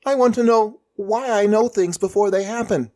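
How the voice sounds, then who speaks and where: A man's voice: high-pitched, a little mellow, voice pushed up, close to an everyday voice. A man voicing an earnest, determined young boy who speaks insistently in an audio story. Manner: earnest, insistent, quick bursts. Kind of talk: audio fiction character voice.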